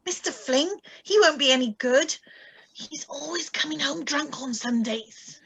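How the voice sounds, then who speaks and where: Actress doing a nervous fretful wife, old radio thriller style, high bright voice, bit of noise and clicks. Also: female voice, a little slow, creaky, exaggerated voice. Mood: anxious. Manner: pleading, fretful. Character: frightened, anxious young wife under siege. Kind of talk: 1940s-style radio drama acting. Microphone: video call. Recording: noisy, clicky home video-call audio.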